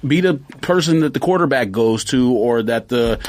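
A man co-hosting a podcast, debating football fast and insistently in casual talk. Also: mid-range voice, a little hiss or hum. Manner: animated, argues fast with stats. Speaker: podcast co-host.

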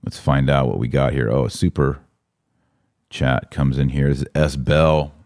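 A man's voice: very deep voice, fairly even intonation, normal speed, a little mellow, no room sound, clean studio sound. An American man hosting a live podcast, chatting casually and informally as he responds to listener comments. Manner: informal slangy talk. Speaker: podcast host.

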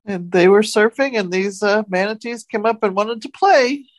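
A woman's voice: mid-range voice, normal speed. A woman co-hosting a casual talk show, retelling odd news stories in a conversational way.

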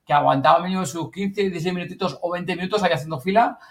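A man with an accent from Spain telling anecdotes in casual, slangy, excitable talk, acting out bits of dialogue. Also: sits high, very fast, vocal fry. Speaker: host and project member.

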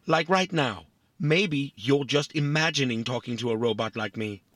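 An actor playing a small, vain, philosophical robot in a family audio drama, boastful and politely fussy. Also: male voice, mid-range voice, normal speed, a bit bright, no room sound, lightly acted. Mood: vain, musing.

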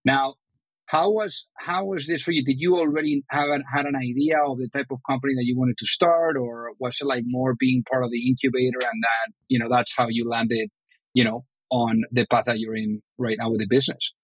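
A man's voice: mid-range voice, fairly even intonation, a little fast, breathy edge, no room sound, lo-fi sound. A man hosting a podcast interview, chatty and full of fillers. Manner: chatty, many fillers like "you know". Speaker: podcast host. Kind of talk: casual interview.

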